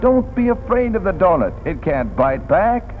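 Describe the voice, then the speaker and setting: Animated 1940s American newsreel announcer, man. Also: high voice, normal speed. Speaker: newsreel narrator. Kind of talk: 1940s newsreel scripted narration. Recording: tinny vintage film soundtrack, music behind. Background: newsreel music.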